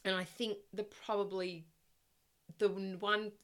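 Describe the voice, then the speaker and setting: A middle-aged woman with a loud voice that projects, chatting as a guest in a casual interview, rambling and laughing often. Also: medium pitch, flat delivery, unhurried. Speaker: guest, parent of the host.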